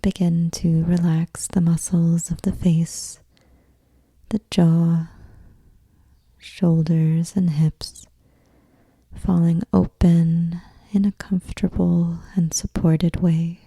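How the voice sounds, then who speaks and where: A woman guiding a meditation in a soft, breathy near-whisper, with soothing, measured delivery. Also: fairly low pitch, flat delivery, no echo. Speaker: yoga nidra meditation guide. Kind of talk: guided meditation.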